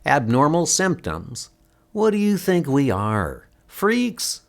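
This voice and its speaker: A man's voice: fairly high pitch, wildly swooping pitch, slow pace, creaky, pitched above the performer's own voice, exaggerated voice. A man voicing a defensive, sarcastic young ex-superhero in a comic book dub, snide and dismissive. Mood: indignant.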